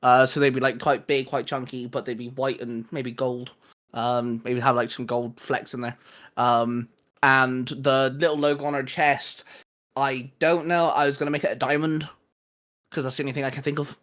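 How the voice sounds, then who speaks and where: A man co-hosting a fan channel, chatting casually and geekily as he pitches creative ideas. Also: level tone, normal speed, a bit bright.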